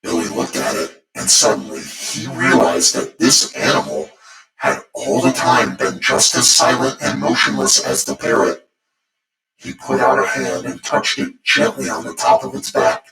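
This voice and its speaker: A man narrating a spooky bedtime story as its host, in a deliberate, theatrical manner. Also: very deep voice, big pitch swings, vocal fry, sharp tone. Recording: echoey, distant voice over music. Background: music.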